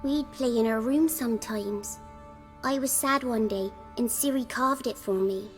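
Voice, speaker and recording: A woman's voice: fairly high pitch, unhurried, soft background music, close to an everyday voice. A woman voicing an earnest little village girl in a fantasy game, speaking simply and candidly. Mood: wistful, earnest. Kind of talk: video game voice acting.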